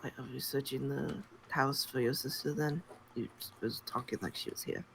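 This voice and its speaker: A man's voice: mid-range voice, moderate pace, creaky, a bit bright, slight background noise, rough recording. A man playing a tabletop role-playing game, bantering with the others in a teasing, combative way. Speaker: player.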